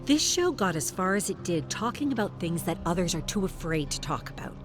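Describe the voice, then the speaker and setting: A narrator as a passionate, animated woman talk-show host. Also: lowish voice, fairly quick, music in the background. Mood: determined. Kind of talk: dramatic audiobook character voice.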